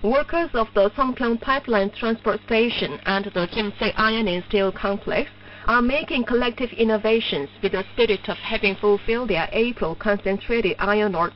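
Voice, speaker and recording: A woman with a Korean accent reading formal scripted news over shortwave radio in a measured, stiffly official manner. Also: medium pitch, distant mic. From North Korea. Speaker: newsreader. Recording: muffled noisy shortwave radio with hum.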